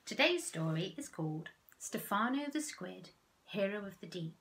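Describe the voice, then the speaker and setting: A woman's voice: mid-range voice, expressive, normal speed, a bit bright, a little hiss or hum, poor audio. A middle-aged woman, a children's author, reading her picture book aloud expressively for young children, outdoors. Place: outdoors in a back garden.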